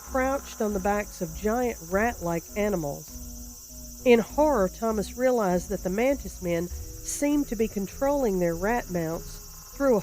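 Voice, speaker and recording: A woman narrating a scripted horror story in a deliberate, descriptive storytelling manner. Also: lowish voice, warm tone, a little hiss or hum, rough recording.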